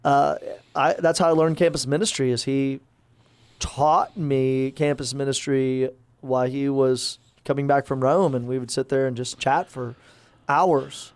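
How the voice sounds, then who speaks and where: Rambling priest, dry close sound. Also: male voice, medium pitch, fairly even intonation, unhurried, no room sound. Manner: rambling, many fillers and restarts. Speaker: campus parish priest. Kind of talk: casual podcast chat.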